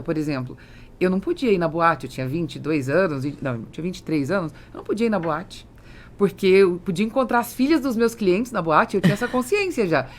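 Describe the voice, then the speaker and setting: A woman's voice: lowish voice, a little mellow. Brazilian woman hosting a conversational podcast. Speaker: podcast host.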